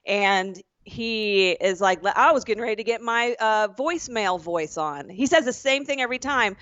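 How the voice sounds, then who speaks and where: A woman from the American South co-hosting a comedy podcast, telling stories in a casual, animated way and acting out phone calls with a laugh. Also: medium pitch, normal speed, no echo. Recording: dry, slightly dull lo-fi sound.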